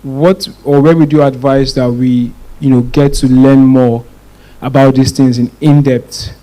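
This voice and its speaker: A Nigerian man in his twenties puts a question to a speaker from the audience. His voice is mellow and of average pitch, and he is polite and a little hesitant. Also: steady tone, a little slow, rough recording. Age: 20s.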